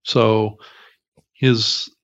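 A man's voice: fairly low pitch, level tone, very slow, vocal fry, a bit bright. A man co-hosting a podcast, casually discussing the industry. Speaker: podcast co-host. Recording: dull, slightly lo-fi audio.

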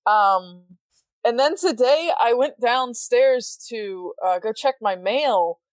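A woman's voice: on the high side, animated, slow, no echo. A woman guesting on a podcast, telling stories in a chatty, laughing way. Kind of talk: casual chat.

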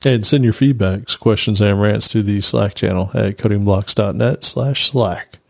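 A man co-hosting a tech podcast, giving a relaxed, low-key outro. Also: normal speed, gravelly, no echo, studio quality. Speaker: podcast co-host. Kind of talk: casual podcast chat and sign-off.

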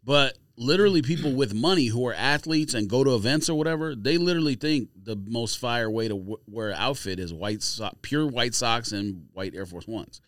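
A man's voice: medium pitch, animated, moderate pace, few pauses, a bit bright, no room sound, studio quality. An American man co-hosting a podcast, debating casually in an emphatic, slangy way. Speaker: podcast co-host.

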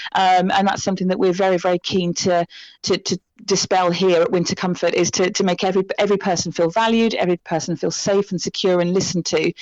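A woman's voice: on the low side, a little mellow, dry recording. British woman, dry and slightly dull sound. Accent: British English. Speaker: charity representative being interviewed. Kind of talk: explanatory radio interview.

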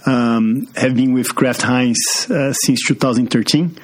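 A man's voice: medium pitch, a little slow. A man from Brazil with a Brazilian accent, a guest in a business podcast interview, speaking with many fillers and restarts. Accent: Brazilian Portuguese. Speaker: guest, marketing executive.

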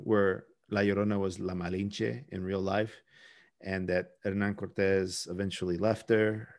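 A man co-hosting a podcast, discussing folklore casually, often circling back and repeating words as he thinks. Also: on the low side. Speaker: podcast co-host.

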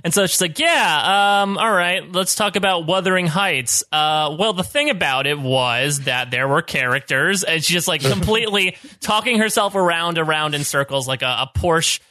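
A man co-hosting a recap podcast, cracking quick jokes in casual chat. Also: on the high side, expressive, sharp tone, no room sound. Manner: joking, quick wisecracks. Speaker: podcast co-host.

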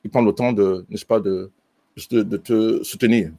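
A man, a bass student, telling his teacher in an interview why he joined the course, with many fillers and restarts. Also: fairly even intonation, normal speed, warm tone, no room sound. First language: French. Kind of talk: testimonial interview.

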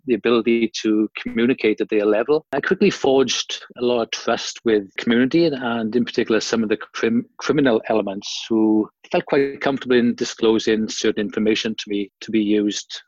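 A man's voice: mid-range voice, brisk, hardly any pauses, a little mellow, no echo. Middle-aged Welsh man, dull dry audio. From South Wales. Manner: conversational, with fillers and restarts, recounting a career at length. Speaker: guest, former police officer. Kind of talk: interview storytelling.

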